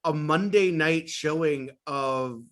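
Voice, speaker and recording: A man's voice: a little high, slow, fairly bright tone. A man of about thirty, a guest on a podcast, chatting in an upbeat, rambling way full of "uh" and "like.". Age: 30s. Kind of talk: casual chat.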